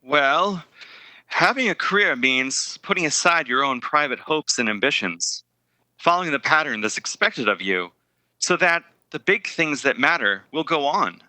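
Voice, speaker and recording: A man playing an earnest, guilt-ridden young man in a 1930s-style radio drama, speaking haltingly and hesitantly. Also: a little high, expressive, sharp tone, no echo, exaggerated voice, poor audio. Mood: uneasy, apologetic.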